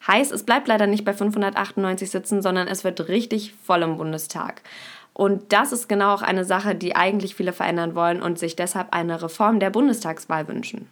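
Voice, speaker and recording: A woman's voice: mid-range voice, fairly even intonation, brisk. A young woman hosting a podcast, explaining things to her listeners and speaking to them directly. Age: young adult. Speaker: podcast host. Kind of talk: scripted podcast intro and explainer.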